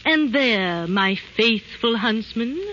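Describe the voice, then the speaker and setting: A villainous queen, cold and imperious, in a sharp, forceful voice that swoops widely. Her haughty delivery comes over a muffled old radio drama broadcast. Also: on the high side, animated. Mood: menacing. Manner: haughty, deliberate, theatrical. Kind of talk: 1930s radio acting. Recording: muffled, faintly noisy 1930s radio broadcast.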